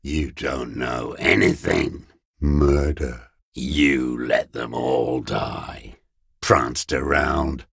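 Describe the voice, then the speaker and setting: An actor playing a bitter old communist deserter in a video game, scornful and unrepentant, with a gravelly voice and a contemptuous, sneering manner. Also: male voice, very deep voice, a bit bright, no room sound, studio quality, exaggerated voice. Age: older. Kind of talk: dramatic video game character dialogue.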